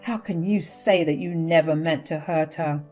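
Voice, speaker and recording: A woman's voice: deep voice, moderate pace, a little mellow, natural voice. A woman voicing a fed-up adult son who refuses his mother bluntly and firmly, over faint music.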